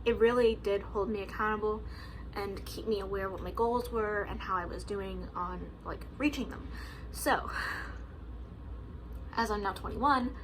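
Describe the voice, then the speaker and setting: A woman's voice: mid-range voice, moderate pace, noisy background. A woman in her early twenties talking casually to camera at home, chatty, with lots of "like" and "um" and frequent laughs. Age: 20s. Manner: chatty, lots of likes and ums, laughs often. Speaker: vlogger.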